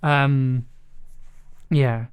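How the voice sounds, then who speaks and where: A man's voice: medium pitch, fairly even intonation, very slow, clean tone. A man giving casual, hesitant livestream commentary as he edits audio, thinking aloud as a producer. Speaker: streamer and audio drama producer.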